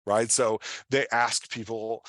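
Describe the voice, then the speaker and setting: A man's voice: mid-range voice, level tone, slow, fairly bright tone, no echo, studio quality. A man giving an informal workshop as a writing coach, explaining with examples and often checking in with the listener. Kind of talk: informal instructional presentation.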